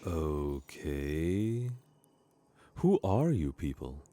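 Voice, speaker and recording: An actor playing a formal, commanding, self-important starship captain in a sci-fi comedy audio drama. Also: male voice, a bass voice, sing-song, extremely slow, mellow, no room sound, full character voice. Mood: brisk command.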